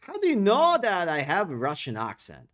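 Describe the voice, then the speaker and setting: A man's voice: high-pitched, moderate pace. A man casting an esports match solo on a live stream, speaking non-native English with slightly broken phrasing and chatting with viewers between plays. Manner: excitable play-by-play calls, chatty with viewers. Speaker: esports caster. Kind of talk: solo live game commentary and chat replies.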